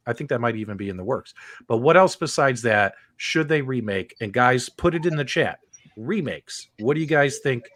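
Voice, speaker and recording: A middle-aged man hosts a live-streamed chat in a loose, rambling way and keeps checking in with his co-hosts. His voice sits at an ordinary pitch. The sound is dry and close, with no room echo. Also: lively intonation, moderate pace, a bit bright. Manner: loose, rambling, asks the others questions. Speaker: podcast host.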